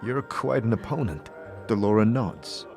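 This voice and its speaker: Low-voiced male narrator and lead, a bit hesitant. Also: a little slow, a little mellow, faint music behind. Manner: hesitant, with filler "uh"s in dialogue. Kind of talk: audio drama narration and acting.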